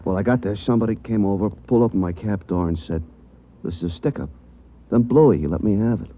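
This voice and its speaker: A man's voice: lowish voice, fast, muffled recording, lightly acted. An actor playing a wounded, streetwise male taxi driver in a 1940s radio drama. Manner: streetwise, chatty, says "you know". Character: tough talking male cab driver, street-wise. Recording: old radio broadcast, band-limited, hiss.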